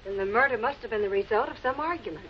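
A woman's voice: a little high, rapid-fire, slight background noise, natural voice. Actress as a poised American woman, muffled vintage film. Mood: curious. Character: poised woman in a murder mystery. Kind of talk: 1940s American television crime drama acting. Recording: muffled old film soundtrack with music.

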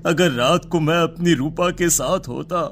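A man voicing a grieving, guilt-ridden elderly Indian father in an animated horror story, with music underneath. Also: fairly high pitch, a little slow. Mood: tearful remorse.

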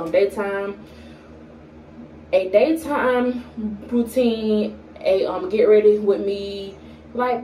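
A young woman in her early twenties talks slowly and casually to her camera, filling pauses with plenty of ums and stumbling on a word now and then. Her voice is mellow and relaxed. It sounds recorded in a small echoey room, with the camera set a little away from her. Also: medium pitch, distant mic, slight background noise. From Atlanta, Georgia. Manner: unhurried, with frequent fillers and pauses; stutters at times. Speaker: vlogger. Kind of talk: casual get-to-know-me chat to camera. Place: small indoor room at home. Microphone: camera in front at arm's length.

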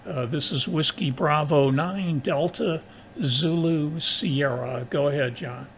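A man in his sixties with an American accent chatting casually as a radio operator, in halting, measured phrases over a noisy recording. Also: a little high. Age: 60s. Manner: halting, measured delivery with spelled-out call signs. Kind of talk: casual ham radio chat.

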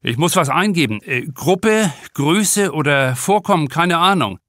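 A man's voice: fairly high pitch, animated, a little slow, fairly bright tone, dry recording, clean studio sound, voice pushed up. Man as a nervous, hesitant young lifeguard. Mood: flustered. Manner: hesitant, stammering. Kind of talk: audiobook character dialogue.

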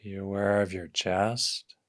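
A man with a fairly low voice leads a guided meditation. He speaks very slowly, offering gentle instructions in short phrases and leaving long pauses between them. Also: flat delivery. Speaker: meditation teacher.

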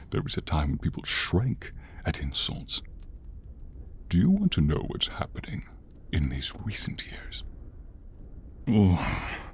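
A man playing an exasperated, menacing horned demon in an ASMR roleplay, theatrical and grumbling, with a husky, breathy voice. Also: deep voice, exaggerated voice. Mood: weary, brooding.

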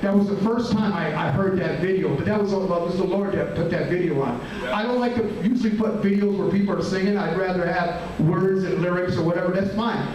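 A middle-aged man preaching a sermon in a small church hall, adding "amen" after nearly every phrase, with halting restarts. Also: high voice, a little mellow. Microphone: handheld mic, filmed from a far camera. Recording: very echoey room, distant camera.

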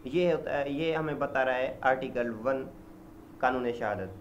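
A young man with a Pakistani accent gives an informal lecture, halting and explanatory, restating points simply and mixing in English terms. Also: mid-range voice. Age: young adult. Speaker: law lecturer. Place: small indoor office. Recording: dull lo-fi audio with background noise.

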